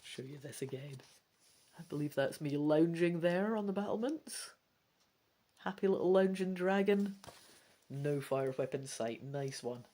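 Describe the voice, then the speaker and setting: Gamebook narrator, takes it slow, dramatic storybook delivery, pitch goes up and down loads. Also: lively intonation, dark tone. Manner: theatrical, deliberate phrasing. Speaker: gamebook reader and video host.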